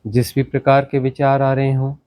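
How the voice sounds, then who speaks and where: A man teaching guided meditation, giving instructions in short commanding phrases with pauses and mixing in English words. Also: mid-range voice, completely flat delivery, mellow. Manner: mixes English words into Hindi.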